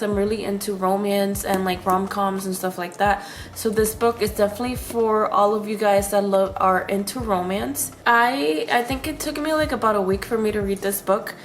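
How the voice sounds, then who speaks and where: A woman's voice: mid-range voice, a little hiss or hum. A woman with a congested, sick-sounding voice, chatting casually as she reviews a book on her channel, with frequent filler words. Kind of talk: casual chat.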